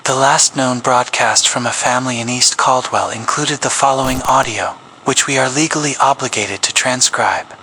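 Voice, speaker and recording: A man reading a scripted public safety announcement as an emergency broadcast announcer, in a grave, measured official tone. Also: medium pitch, pushed and bright, slight background noise, rough recording. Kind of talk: scripted horror narration.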